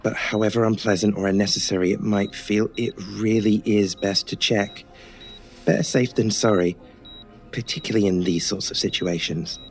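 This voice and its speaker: An actor playing a polite, wordy, gently joking male hospital nurse in an old-time radio style horror drama, with a low voice. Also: fairly even intonation, moderate pace, faint music behind, lightly acted. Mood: polite, chatty.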